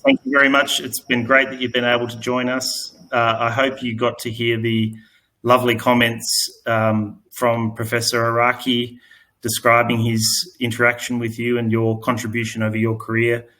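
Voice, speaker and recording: A man chairing a conference session, giving a polite, measured introduction. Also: medium pitch, monotone, sharp tone, poor audio. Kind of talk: formal introduction.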